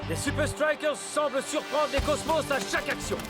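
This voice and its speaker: An excitable male sports commentator in a French cartoon. His pitch is extremely high for a man, and loud music plays behind the commentary. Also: moderate pace. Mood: excited.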